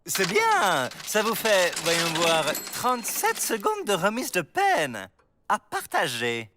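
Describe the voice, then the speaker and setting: A man's voice: high-pitched, wildly swooping pitch, slow pace, noisy background, full character voice. A man voicing an officious, theatrical prison boss in a cartoon, with a pompous announcing manner. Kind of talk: animated cartoon acting. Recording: music behind the voice.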